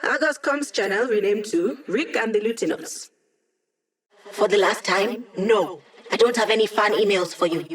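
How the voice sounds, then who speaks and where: A woman's voice: medium pitch, normal speed, natural voice. An actress voicing a cocky, playful young female hacker who guides a squad in a video game, with a teasing, breezy manner. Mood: wry, upbeat. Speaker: hacker and mission support. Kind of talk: video game character voice lines.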